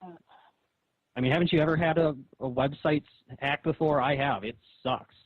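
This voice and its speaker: A man arguing on a phone call in a halting, repetitive, reproachful way, as a comic artist. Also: fairly high pitch. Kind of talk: argumentative phone conversation. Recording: dull roomy phone call recording.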